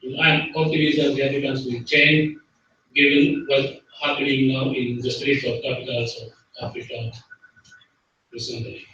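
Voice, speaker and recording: A man's voice: medium pitch, flat delivery, normal speed, sharp tone, slight background noise. A man presenting economic research and answering a question at an academic conference. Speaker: panel speaker, economist. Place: university conference room. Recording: echoey hall, distant, dull sound.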